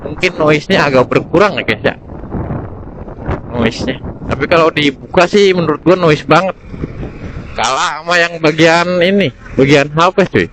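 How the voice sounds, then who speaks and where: A man's voice: on the high side, fairly bright tone, slight background noise, poor audio. A man chats in a casual, slangy, rambling way as a motorcycle vlogger, recorded outdoors through a helmet intercom mic while riding. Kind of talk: casual motovlog chat, product review. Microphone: intercom camera mic inside full-face helmet.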